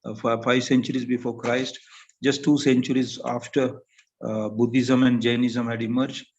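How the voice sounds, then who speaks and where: Older Indian English male panelist, gravelly voice, unhurried and halting delivery, muffled lo-fi recording. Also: medium pitch, flat delivery, darkish tone, a little hiss or hum. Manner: halting, thoughtful, with many pauses and fillers. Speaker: panel speaker. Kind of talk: academic talk.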